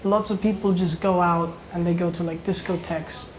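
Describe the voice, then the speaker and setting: A man's voice: high voice, fairly even intonation, brisk, slight background noise, low-quality recording. A young man from Italy speaking as a panelist in a casual round-table discussion, hesitant and searching for words.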